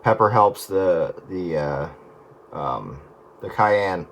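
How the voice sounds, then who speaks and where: A man with a slightly low voice rambles through casual radio talk, reworking his sentences midway. There is faint noise on the signal. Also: animated, takes it slowly. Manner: rambling, self-correcting. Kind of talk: casual chat over the radio.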